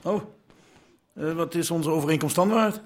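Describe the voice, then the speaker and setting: An actor playing an uneasy, let-down informant in a radio drama. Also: male voice, fairly high pitch, normal speed, close to an everyday voice. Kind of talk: naturalistic Dutch radio drama acting.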